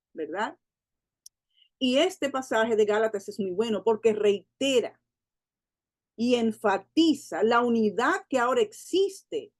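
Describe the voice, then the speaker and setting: A woman from the Dominican Republic teaching the Bible to a women's gathering. She slows and lifts her voice for scripture and adds quick asides and tag questions. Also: fairly high pitch, darkish tone, no echo, studio quality.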